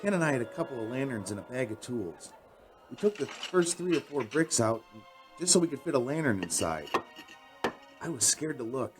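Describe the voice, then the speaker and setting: A man's voice: mid-range voice, big pitch swings, normal speed, faint music behind, close to an everyday voice. A man acting in a radio drama as an earnest, shaken young man recounting a frightening night. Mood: tense recounting.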